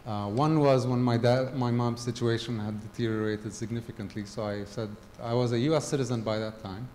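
A man's voice: medium pitch, steady tone, a little mellow, slight background noise. Middle-aged man speaking in a reverberant hall. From Gaza. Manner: halting, many ums and restarts. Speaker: conference speaker. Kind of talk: personal storytelling talk. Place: large hall with a stage. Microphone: handheld mic. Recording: long hall reverb, faint ambience.

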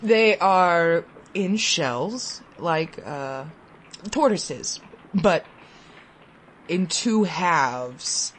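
A woman voicing a weary, poor father who speaks haltingly in a hollow murmur. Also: on the low side, animated, a little hiss or hum, pitched below the performer's own voice. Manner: slow, hesitant, pausing.

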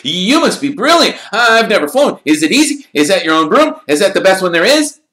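A man voicing an excitable young schoolboy fan who gushes out breathless questions. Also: very high-pitched, pitched above the performer's own voice. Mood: eager. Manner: breathless, gushing, rapid questions. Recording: roomy echo.

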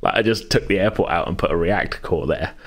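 A man's voice: sits low, fast. A man chatting casually as a podcast host, rambling and often interrupting himself. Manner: rambling, self-interrupting, hedging. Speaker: podcast host and game master. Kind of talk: casual chat.

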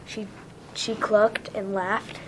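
A woman's voice: mid-range voice, flat delivery, slow pace, a little hiss or hum, low-quality recording. A woman narrating a children's storybook, reading it aloud.

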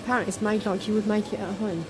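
A woman's voice: medium pitch, steady tone, rapid-fire, crowd noise. A woman filming a casual tour of a farm shop on her phone, talking enthusiastically and exclaiming at what she finds. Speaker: vlogger touring a farm shop. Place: large indoor farm shop. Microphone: handheld phone camera. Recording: loud background music.

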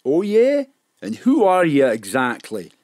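An actor in an audio drama playing a wary, cocky safecracker gangster with rough, slangy talk. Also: medium pitch, huge pitch swings, a little slow, a little mellow, no room sound, clean studio sound. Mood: sneering, suspicious.

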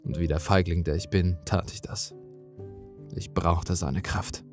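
A man reads a horror story in a lowish voice at a slow, deliberate pace. His narration is dramatic and brooding, with faint music underneath. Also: steady tone. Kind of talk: dramatic scripted creepypasta narration.

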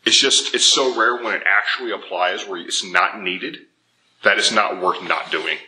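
A man in his thirties giving informal, animated, emphatic instruction to camera as a martial arts teacher. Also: fairly low pitch, normal speed, low-quality recording. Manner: animated, emphatic, acts out imagined objections. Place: indoor dojo with bare walls and wood floor.

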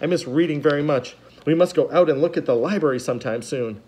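A man's voice: on the high side, quick pace, a little mellow, voice pushed up, natural voice. A man voicing an earnest, anxious bookish boy in a storybook reading. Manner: earnest, rising to upset outbursts.

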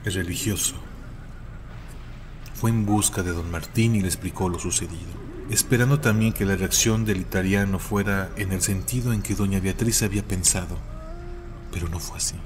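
A man with a deep voice narrates a scripted legend as a storyteller. His phrasing is solemn and deliberate, with dramatic emphasis on key words. Clear ambient noise runs behind the voice. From Mexico.

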